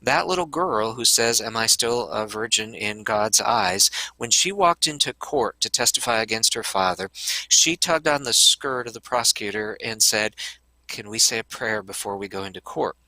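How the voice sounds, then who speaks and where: Man with a sharp, forceful voice. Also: fairly low pitch, expressive, normal speed, no room sound, poor audio. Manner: earnest, with frequent hesitant fillers. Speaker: conference speaker. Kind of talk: conference talk.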